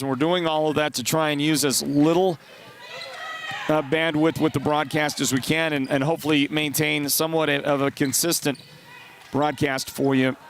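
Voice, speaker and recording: A congested man with a partly lost voice, calling high school basketball play-by-play and chatting between plays. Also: a little hiss or hum. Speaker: play-by-play announcer.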